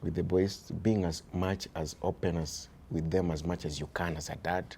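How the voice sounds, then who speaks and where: A man with a Kenyan accent and a very deep voice, talking earnestly as an interview guest about raising his sons, often dropping "you see" and shifting into Swahili. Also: fairly even intonation, normal speed, darkish tone, slight background noise. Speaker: guest, father of boys. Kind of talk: reflective conversational interview.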